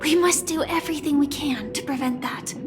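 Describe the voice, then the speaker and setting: A woman playing a gentle young warrior in a video game, resigned to death, speaking earnestly and with hushed, wistful deliberation. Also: sits high, fairly quick, natural voice. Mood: determined farewell. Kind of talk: dramatic video game voice acting. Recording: game music behind the voice.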